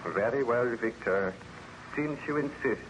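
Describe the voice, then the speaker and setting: A man's voice: medium pitch, expressive, lightly acted. Earnest, formal actor as cautious male friend. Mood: reluctant. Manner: formal, earnest, measured diction. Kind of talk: old-time radio drama acting. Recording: slightly noisy vintage radio recording.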